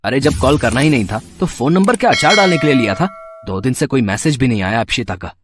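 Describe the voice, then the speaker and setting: A man acting a hesitant, expressive married man. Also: medium pitch, very noisy, lightly acted. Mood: annoyed. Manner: halting, bashful, with stammers. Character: hesitant married man, easily flustered, smitten and curious. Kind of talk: dramatized audio story dialogue.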